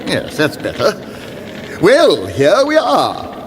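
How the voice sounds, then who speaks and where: A doddering, apologetic old wizard from a radio fantasy spoof. The high voice swoops through rambling, distracted instructions, ringing with long reverb over music. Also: male voice, huge pitch swings, takes it slowly, background noise, full character voice. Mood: fussy, apologetic. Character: doddering, apologetic old male wizard, speaking as recorded vision. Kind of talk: comic fantasy radio drama.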